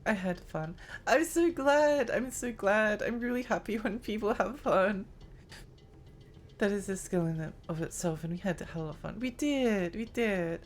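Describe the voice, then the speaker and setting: A woman's voice: mid-range voice, expressive, a little slow, slight background noise. A woman streaming a game live, chatting in a rambling, giggly way while her voice strains and tires. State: strained, tiring voice.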